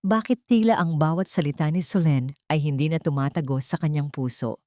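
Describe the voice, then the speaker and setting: A woman narrates a melodramatic audio story in a scripted, theatrical style. Her voice sits fairly low, and the recording is close and dry. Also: a little fast, warm tone, no room sound.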